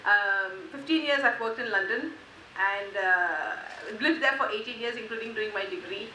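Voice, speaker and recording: A woman's voice: medium pitch, normal speed, bright, forceful tone. A woman from Malaysia giving a conference talk, conversational with frequent fillers. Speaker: conference speaker. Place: conference hall. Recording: echoey hall, faint hiss.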